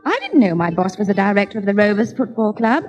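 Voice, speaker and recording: A woman's voice: medium pitch, sing-song, fast, faint music behind, close to an everyday voice. A woman playing a sceptical, tale-telling young working daughter in a 1960s British radio comedy. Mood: gossipy.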